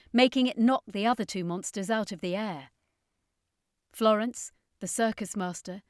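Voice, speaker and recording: A woman narrates an adventure audiobook in a dramatic, scripted storytelling style. Her voice sits at a middle pitch for a woman, recorded very dry with hardly any room sound. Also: no echo.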